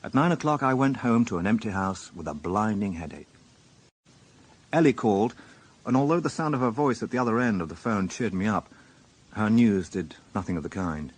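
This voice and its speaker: A man's voice: fairly low pitch, lively intonation, normal speed. An actor playing a weary, dry-witted male police inspector in a radio drama, wry and understated. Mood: wry narration. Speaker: narrator and lead actor. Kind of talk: radio drama acting and first-person narration.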